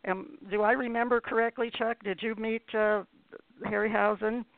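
An older woman hosting a casual radio interview, asking questions in a halting way and repeating words, with a creaky voice. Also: fairly high pitch, steady tone, no room sound. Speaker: radio show host. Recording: lo-fi phone-like internet radio line.

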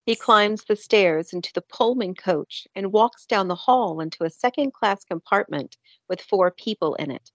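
A woman's voice: mid-range voice, moderate pace, hardly any pauses, dry recording, muffled recording. A woman reading scripted narration for a radio play.

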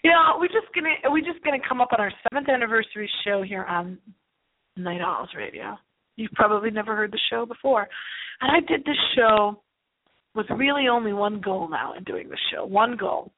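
A woman's voice: mid-range voice, lively intonation, moderate pace. Theatrical woman radio host on phone line. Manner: theatrical, emphatic, repeats phrases for effect. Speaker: host of a paranormal radio show. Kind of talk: rambling solo radio monologue. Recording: thin, narrow phone-line sound.

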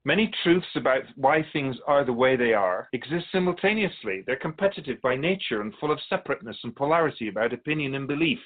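A man playing a calm otherworldly being in a radio drama interview, explaining humanity to humans in a measured, knowing, didactic way. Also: medium pitch, narrow, tinny audio, lightly acted. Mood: assured, teaching.

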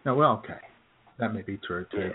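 A man's voice: on the low side, expressive, brisk, breathy edge. A man hosting an internet radio show from a small-town home studio, chatting in a laid-back way and chuckling often. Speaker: host. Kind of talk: casual radio chat. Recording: tinny phone-like line.

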